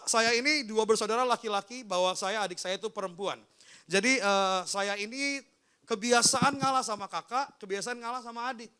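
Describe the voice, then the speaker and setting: A man preaches with a strikingly high-pitched voice that is bright and smooth. As a pastor, he tells personal testimony in informal, conversational language, speaking straight to his listeners. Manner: informal storytelling, often addressing listeners directly. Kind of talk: personal testimony in a sermon, addressing a congregation.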